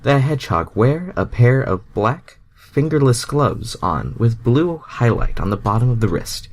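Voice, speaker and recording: A man's voice: medium pitch, expressive, unhurried. A man doing a casual amateur read-aloud of fan fiction, stumbling over the text and breaking into exasperated, foul-mouthed asides.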